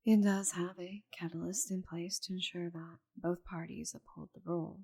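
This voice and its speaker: A proud, stubborn she-dragon, played by a woman in an intimate ASMR roleplay. Her voice is low and gravelly with a husky grain. She speaks dryly, with grudging affection. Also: fairly even intonation, warm tone. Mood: dry, resigned. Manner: proud, dry, halting when flustered. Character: giant stubborn female dragon, cursed to shrink, grudgingly affectionate.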